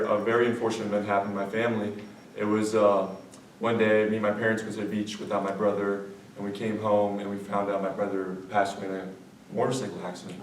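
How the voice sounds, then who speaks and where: A man in his late teens from Croatia, telling his personal story to an audience in an informal, halting, youthful way. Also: fairly low pitch. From Croatia, moved to Vermont as a child. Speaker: student speaker. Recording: echoey room, distant mic, faint noise.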